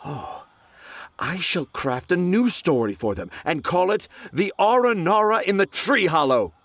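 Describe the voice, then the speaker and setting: A man's voice: high-pitched, very animated, unhurried, fairly bright tone, dry recording, full character voice. A man voicing a pompous male writer in a game, grandiloquent and theatrical. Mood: grandiose. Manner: grandiloquent, self-satisfied, theatrical. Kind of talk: game voice acting.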